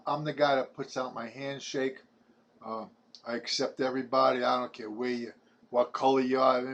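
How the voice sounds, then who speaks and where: Casting: man in his 70s, Brooklyn New York accent, halting chatty preacher-style vlogger, mid-pitched. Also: lo-fi sound. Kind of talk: rambling personal testimony to camera.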